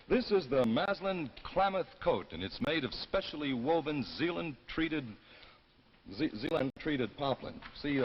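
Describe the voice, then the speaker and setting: A man presenting a product as a television announcer, folksy and conversational, in an informal live demonstration. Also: medium pitch. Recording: muffled, noisy old television soundtrack.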